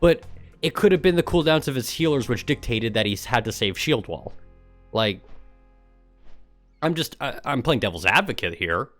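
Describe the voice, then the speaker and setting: A man's voice: mid-range voice, lively intonation, normal speed, long pauses, a bit bright, soft background music. A man doing casual, mocking livestream commentary, sometimes reading aloud in a sarcastic way. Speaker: streamer and gamer.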